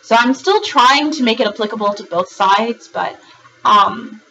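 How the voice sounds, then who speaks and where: A woman with a hoarse, raspy voice from a recent virus, giving a monthly tarot reading in a rambling way, with frequent ums and restarts. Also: on the high side, unhurried, pushed and bright, lo-fi sound, slight background noise. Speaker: tarot reader.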